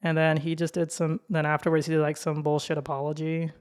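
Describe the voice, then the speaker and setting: Young woman podcast host with a slow, flat, monotone voice, casual and candid. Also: sits low, smooth. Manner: laid-back and candid, with frequent laughs and fillers. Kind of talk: casual solo podcast monologue.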